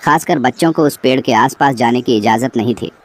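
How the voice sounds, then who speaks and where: A man's voice: mid-range voice, fairly quick. A man reading a scripted horror story as narrator.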